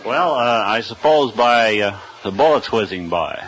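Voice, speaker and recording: A man who is a game warden answers as a radio quiz contestant, earnest and stiff, halting with many uhs. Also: mid-range voice, lively intonation. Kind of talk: hesitant guest answers. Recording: dull archive radio recording.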